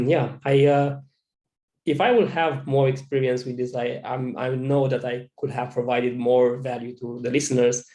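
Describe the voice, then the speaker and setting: A man's voice: medium pitch, flat delivery, measured pace, mellow. A man with a Romanian accent, a business owner answering interview questions over a video call, casual and earnest, with a lot of "you know". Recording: roomy video call audio.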